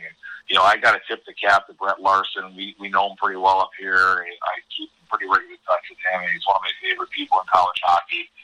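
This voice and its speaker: A man's voice: sits low, pushed and bright, low-quality recording. An American man giving earnest, emphatic sports analysis in a conversational style as a guest commentator, often repeating words as he goes. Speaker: college hockey commentator as podcast guest.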